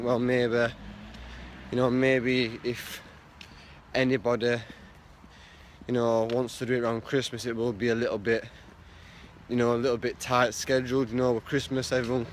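A man's voice: mid-range voice, little pitch movement, moderate pace. A young man rambles into his phone as he walks outdoors, circling back on his points and padding them with fillers. The phone is held at arm's length, and faint street noise sits underneath. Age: young adult. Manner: rambling, repeats himself with lots of fillers. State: blocked nose, sounds stuffy. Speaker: vlogger. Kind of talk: casual selfie vlog. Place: outdoors on a street walking to work.

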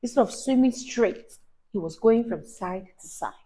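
A young woman reading a story aloud to a child, expressive and teacher-like, emphasising words and asking questions. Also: medium pitch, moderate pace, a little hiss or hum. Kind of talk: animated read-aloud to a child. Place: small indoor room, on a sofa. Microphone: clip-on lapel mic.

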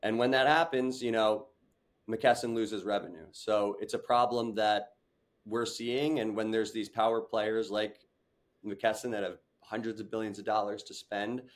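Young man pitching on a video call. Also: steady tone. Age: young adult. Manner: earnest, fast pitch with many fillers and restarts. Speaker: startup founder, guest. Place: home room with large window.

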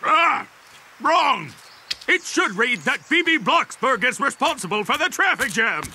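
A man's voice: very high voice, very animated, a little slow, noisy background, heavily acted. An actor playing a pompous, dismissive male town mayor in a cartoon, with a blustering manner. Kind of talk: animated cartoon dub acting. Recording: loud music under the voice.

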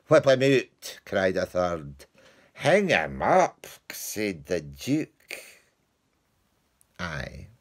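Comic lowly servant with a broad Scottish brogue, deep and drawn out, as a male actor plays him. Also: exaggerated voice. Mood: rowdy. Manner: broad dialect storytelling, mock indignant, laughing. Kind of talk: theatrical monologue.

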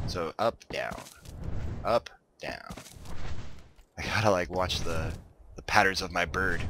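A man's voice: low voice, noisy background. A man casually commenting while he plays and builds in a game, sounding a little congested and stuffed-up. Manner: relaxed, chatty, talks to himself while building. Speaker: gaming video host.

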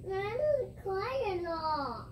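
A woman's voice: very high voice, very animated, extremely slow, a crowd in the background. A girl whimpering in a sing-song way, heard from a little away on a home video.

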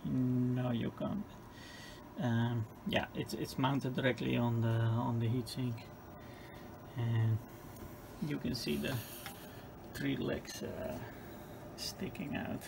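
Gravelly-voiced man explaining gear. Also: medium pitch, fairly even intonation, slow, long pauses. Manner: hesitant, unscripted explaining. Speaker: host demonstrating radio equipment. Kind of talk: informal hands-on demonstration. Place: indoor electronics lab bench. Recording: noticeable background noise in workshop.

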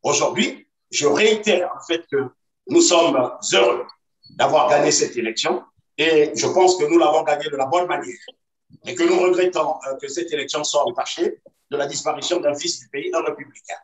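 A man defending his party in a video-call debate, measured and full of hesitations. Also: a little high. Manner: measured, hesitant with many fillers, insistent. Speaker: party activist guest. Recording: roomy echo, distant from mic.

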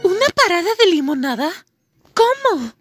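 A woman voicing a sweet, anxious, fiercely protective young mother with a Latin American Spanish accent, expressive, in an anime fan dub. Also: very high-pitched, moderate pace, soft background music. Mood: surprised.

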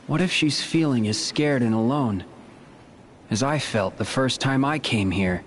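An actor playing a brooding, caring young warrior in a video game, serious and subdued in manner. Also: male voice, medium pitch, measured pace, a little hiss or hum, lightly acted. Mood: troubled. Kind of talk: video game cutscene acting.

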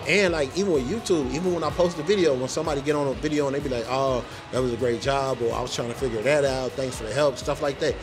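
A laid-back man hosts a casual chat, joking around and speaking in loose slang. Music plays clearly behind his voice. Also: medium pitch, fast, hardly any pauses. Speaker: vlog host.

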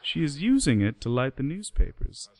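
A man's voice: medium pitch, very animated, normal speed, a little mellow, dry recording. A man narrating a radio play in scripted storytelling style.

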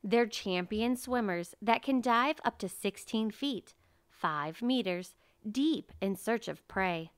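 A woman reading a children's nature picture book aloud as narrator, in a measured, carefully enunciated way. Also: mid-range voice, lively intonation, clean tone, dry recording.

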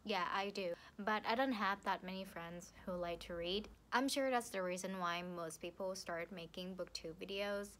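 A young woman from Thailand with a Thai accent, chatting playfully to the camera from her sofa about books, with lively hand gestures. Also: mid-range voice, normal speed, few pauses. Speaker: book vlogger. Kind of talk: casual vlog to camera. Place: living room, seated on a sofa. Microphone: camera in front.

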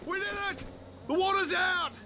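A man's voice: very high voice, fairly even intonation, moderate pace, background noise. An actor playing an earnest, cautious male survivor in a video game. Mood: elated. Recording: game audio under loud music.

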